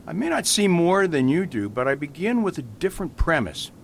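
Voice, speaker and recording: A gentle, whimsical priest detective voiced by a man in a radio play. His voice rises and falls in lively swings and sits a little high. A faint rumble lies under the recording. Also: brisk, vocal fry. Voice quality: mild, patient, whimsical. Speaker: Father Brown. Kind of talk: amateur radio drama acting.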